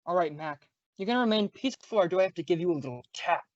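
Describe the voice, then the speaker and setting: A tough, wry policeman of old radio mysteries, acted out by a man. He talks in clipped, rapid bursts. The recording is dry and a little muffled. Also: sits high, animated, no echo. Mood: firm, threatening. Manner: clipped tough-guy talk. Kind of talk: old-time radio detective drama acting.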